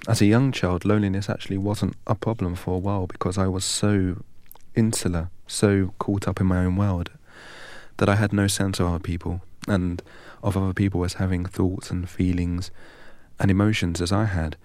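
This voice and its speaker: A man from England, a guest in an interview, telling his life story in a measured, precise and earnest way. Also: low-pitched, flat delivery, darkish tone, dry recording. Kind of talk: reflective personal storytelling.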